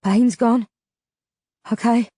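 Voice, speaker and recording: A woman voicing a loyal young man who mumbles gently and sounds shaken and weak. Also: on the high side, steady tone, takes it slowly, breathy edge, no room sound, studio quality. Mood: weak, worried. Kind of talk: audiobook character voice.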